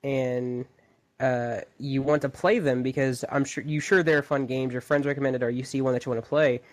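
A young man chatting on a podcast in a plain mid-pitched voice. He sounds easygoing, and his thoughts run on loosely from one to the next. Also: flat delivery, moderate pace. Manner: easygoing, loosely strung sentences. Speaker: podcast co-host. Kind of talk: casual chat.

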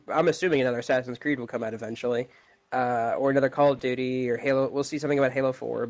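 A man in relaxed informal conversation, co-hosting a podcast. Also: mid-range voice. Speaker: podcast host. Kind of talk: casual chat.

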